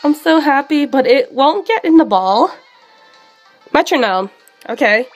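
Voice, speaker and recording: Sick young girl rambling over her game, high voice, drawn-out slow talk, faint music behind. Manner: chatty, excitable, rambling with many fillers. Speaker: game streamer. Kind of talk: live shiny hunting commentary.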